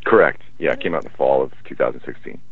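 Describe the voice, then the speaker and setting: A man who is a musician, talking in a relaxed, thoughtful way and often restarting his sentences in a casual interview. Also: a bass voice, fast talker, gravelly, slightly rough. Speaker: musician guest. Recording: tinny phone line, dry.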